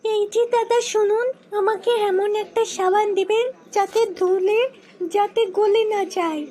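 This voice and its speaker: A woman's voice: extremely high pitch, steady tone, measured pace, very noisy. A woman playing a sassy, haughty and whiny young woman in a comedy cartoon dub. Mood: demanding.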